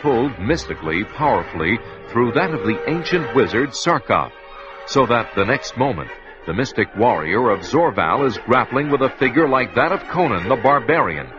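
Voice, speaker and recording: A man narrating a fantasy radio play dramatically, with an animated and expressive voice. The recording is dull and lo-fi, with clear music behind him. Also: medium pitch, normal speed. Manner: dramatic, emphatic.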